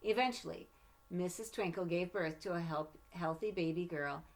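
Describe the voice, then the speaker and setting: A woman reading a children's picture book aloud expressively, with deliberate, emphatic phrasing. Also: on the low side. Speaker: storybook reader.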